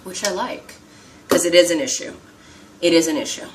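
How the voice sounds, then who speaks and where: A woman's voice: on the low side, moderate pace, far from the mic, noisy background. An American woman talking casually to the camera while doing her makeup, emphatic and repetitive, often asking "okay?". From United States. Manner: emphatic, repeats herself, keeps asking "okay?". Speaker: vlogger.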